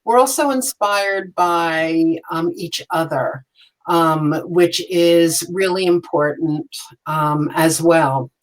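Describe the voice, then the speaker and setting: Woman, panelist, very slow, lowish voice, hesitant, meandering, video call. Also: low-quality recording. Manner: meandering, hesitant, many ums. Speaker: mosaic artist and panelist. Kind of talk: casual panel talk.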